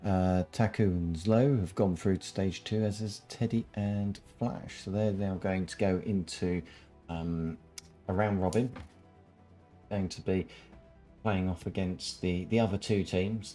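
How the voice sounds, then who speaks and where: A man casting a live strategy game tournament, explaining the format with many pauses and ums. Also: deep voice, steady tone, warm tone, soft background music. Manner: halting, many ums, laughs often. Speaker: esports caster.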